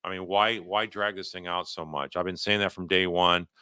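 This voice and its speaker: A man hosting a livestream, giving unscripted legal commentary and answering viewer questions in a halting, conversational way, often rephrasing himself. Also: deep voice, steady tone, bright, forceful tone, clean tone, no room sound.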